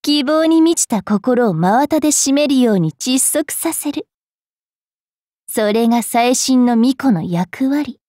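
A woman playing a haughty, cruel young fairy priestess in an anime game, sneering and taunting with a rough mouth. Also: high voice, expressive, slow pace, mellow, dry recording, clean studio sound. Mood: menacing scorn.

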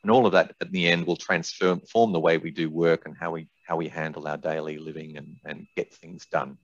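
Low-voiced older man presenting, roomy sound. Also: steady tone, a little fast. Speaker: presenter, software expert. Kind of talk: webinar presentation with product demonstration. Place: indoors, small room on a video call. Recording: dull, roomy webinar audio.

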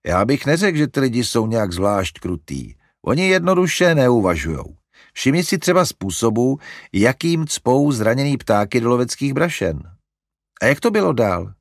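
A man's voice: mid-range voice, sing-song, no echo, studio quality, voice pushed up. Man doing a musing young brother, raised voice. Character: calm, curious young man, middle brother.

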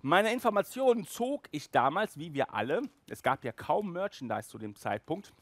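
A man, presenting casually and enthusiastically to camera as a show host, with an animated, emphatic manner. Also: a little high, moderate pace, no echo. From Germany. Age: 40s. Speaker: collector magazine show host. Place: small indoor room with toy displays. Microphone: handheld microphone, later headset.

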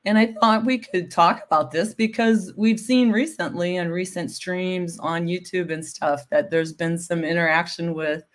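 A middle-aged woman, a bit hoarse from a cold, explaining textile materials on a video call, often checking with "right?". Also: on the low side, fairly even intonation, moderate pace, hardly any pauses. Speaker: guest expert on textiles. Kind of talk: casual livestream chat. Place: home room with window blinds.

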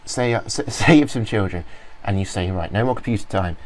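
A man casually talking through a software demo, rambling with many fillers and restarts. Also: fairly low pitch, brisk, slight background noise. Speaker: software reviewer. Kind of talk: informal screen-recorded walkthrough.

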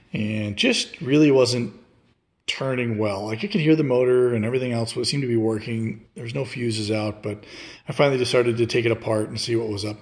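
A man talking informally and in a laid-back way as he explains a hands-on repair for his DIY channel, recorded indoors on a handheld camera. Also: medium pitch.

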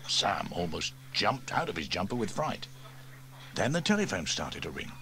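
Lively storybook reader with tape hiss. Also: male voice, normal speed. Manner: lively, switches into higher voices for characters. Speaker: audiobook reader. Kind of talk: storybook narration with character voices. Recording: faint tape hiss from old cassette.

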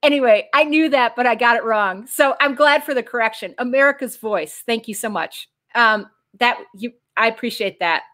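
A middle-aged woman hosting a political discussion show over a video call, who gets excited and jumps up into a higher voice. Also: moderate pace. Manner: excitable, pitch jumps high when excited. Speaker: show host. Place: home room with bookshelves.

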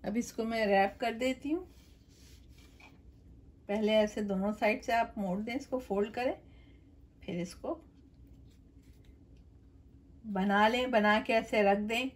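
A middle-aged woman walks viewers through a home recipe at her kitchen counter, chatty and explaining each step as she works. Her voice sits a little high for a woman. She keeps turning to the viewer as she goes. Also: fairly even intonation, takes it slowly, long pauses. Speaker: cooking channel host. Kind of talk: home cooking demonstration.

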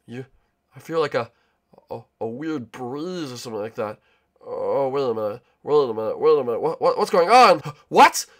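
A nervous young lad, acted by a man in a homemade character skit, with a lively, wide-ranging voice. He stammers, repeats himself and sounds guilty while trying to be brave. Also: medium pitch, normal speed, lightly acted. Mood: fearful. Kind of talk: casual hobby character skit acting.

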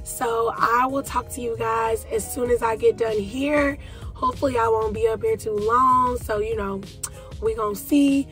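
A young woman talks straight into her phone from a parked car, chatty and familiar, calling her viewers y'all. Her voice sits a little high but sounds stuffed up and half gone from a cold. Soft music runs faintly underneath. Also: fairly even intonation, moderate pace. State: congested with a cold. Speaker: vlogger. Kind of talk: casual vlog chat.

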